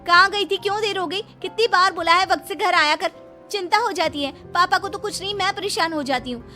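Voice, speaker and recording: Woman acting a high, chattering bossy teenage girl. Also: brisk, sharp tone, faint music behind. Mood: worried nagging. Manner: talkative, scolding, fussy. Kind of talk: acted moral story dialogue.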